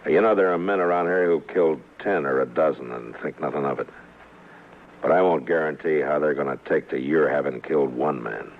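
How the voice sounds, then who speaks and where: Very deep-voiced stern frontier marshal. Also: male voice, fairly quick, a little hiss or hum. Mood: cold, stern. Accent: American. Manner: terse, laconic, authoritative. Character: stern, weary Old West frontier marshal. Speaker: radio drama lead and narrator. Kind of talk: old-time radio western acting. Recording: narrow-band old radio recording with music.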